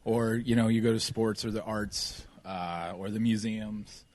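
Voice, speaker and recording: Laid-back low-voiced man in his forties. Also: steady tone, a little slow, a little mellow. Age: 40s. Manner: laid-back, trailing off, chuckling. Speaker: podcast guest. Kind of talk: casual chat.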